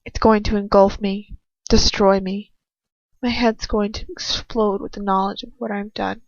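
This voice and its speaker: A woman reading a dramatic first-person story aloud with an emotive, slightly halting delivery. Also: medium pitch, little pitch movement, no room sound. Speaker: amateur fan fiction reader. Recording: dull lo-fi home recording.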